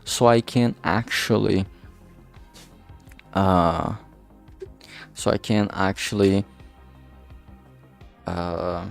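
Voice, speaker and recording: Man, lowish voice, casual vlog rambling, halting delivery, self-deprecating, sweary, faint music behind. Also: vocal fry. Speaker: indie visual novel creator and vlog host. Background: background music.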